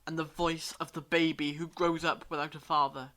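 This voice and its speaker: Actor as knowing time traveller, grave, measured delivery, explanatory, audio drama, medium pitch. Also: little pitch movement, bright, forceful tone, lightly acted.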